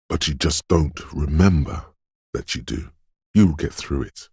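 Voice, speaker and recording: A man's voice: no echo, studio quality, exaggerated voice. A man voicing a brooding, eerie inner voice of intuition in a video game, speaking intimately and poetically in a mournful, ominous, dreamlike way. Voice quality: very deep, husky, gravelly whispery rumble. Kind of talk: video game voice acting, literary second-person narration.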